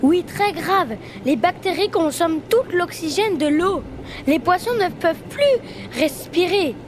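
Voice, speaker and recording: A grave expert scientist in a children's radio play, acted by a child. The voice is extremely high and explains things seriously, over clear background noise. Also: female voice, lively intonation, measured pace. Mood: serious, explanatory. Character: grave, famous male scientist explaining lab findings.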